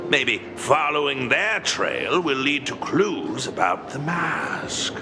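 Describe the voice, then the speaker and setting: A man's voice: mid-range voice, big pitch swings, slow, heavy background noise. An actor voicing a sly, smug young man in a video game, dry and sarcastic. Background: game music.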